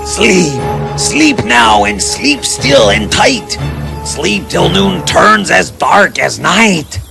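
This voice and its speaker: Menacing wizard, bedtime story, very gravelly, drawn-out incantations, loud music. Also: male voice, high-pitched, very animated, full character voice. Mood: menacing, gloating. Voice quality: gravelly, creaky. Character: sinister male wizard casting evil spells. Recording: music behind the voice.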